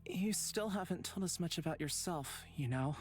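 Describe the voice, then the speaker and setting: A calm, considerate companion in a visual novel, voiced by a woman. The voice is fairly low, soft-spoken and tactful, with faint music behind it. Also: moderate pace, lightly acted. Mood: gently probing. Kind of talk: visual novel game voice acting.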